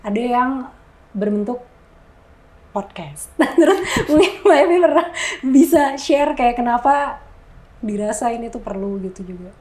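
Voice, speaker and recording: A woman hosts a relaxed podcast conversation and keeps it moving. She often answers with short, agreeing words. Her voice sits somewhat high. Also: a little slow. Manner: easygoing, affirming with short responses. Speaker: podcast host. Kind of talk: casual podcast chat.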